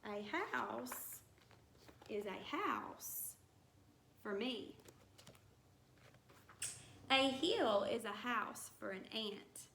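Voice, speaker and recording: A woman teacher reading a rhyming picture book aloud to young children, with deliberate, singsong storybook phrasing. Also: a little high, animated, creaky, a bit bright. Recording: roomy echo with some background noise.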